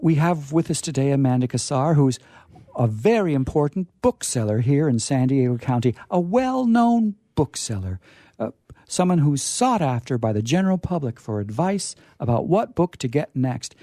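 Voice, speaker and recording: A man hosting a casual on-air interview in a chatty, halting, wordy way, with playfully grandiose touches. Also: mid-range voice, very animated, dark tone, no room sound, studio quality. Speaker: radio host. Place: radio studio.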